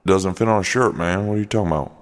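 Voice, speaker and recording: A man voicing a gruff, defensive road comic and secret werebear, rambling and halting in an improvised comedy radio play. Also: deep voice, natural voice. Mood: prickly. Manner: halting, rambling, irritable drawl with malapropisms. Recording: long reverberant echo.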